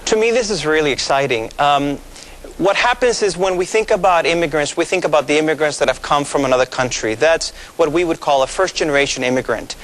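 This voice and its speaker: A man in his thirties from Mexico, a pastor with Spanish as his first language, explaining things in a measured way with many fillers during a TV studio interview. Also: fairly high pitch, fairly bright tone. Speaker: pastor, guest.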